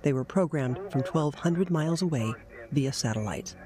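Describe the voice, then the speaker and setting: A woman's voice: low voice, expressive, moderate pace, crowd noise. A woman delivering scripted narration for an investigative documentary.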